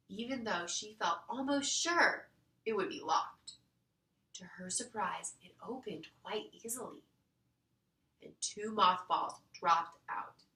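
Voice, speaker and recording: A woman reading a children's story aloud in an expressive storytelling style. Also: mid-range voice. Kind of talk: expressive scripted storybook narration. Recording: roomy echo, distant mic.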